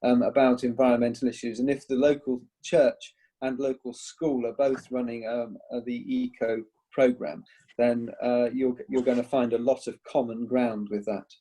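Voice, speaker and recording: A man's voice: mid-range voice, little pitch movement, moderate pace, warm tone, rough recording. A man answering a question in an online meeting, hesitant, with many fillers, heard over a video call. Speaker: eco-church scheme speaker answering a question.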